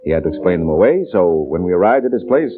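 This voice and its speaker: An actor playing a tough, wisecracking private detective in a 1950s radio drama, with a clipped, wry delivery. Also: male voice, deep voice, big pitch swings, fast talker. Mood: wry, relaxed. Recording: noisy, narrowband old radio recording.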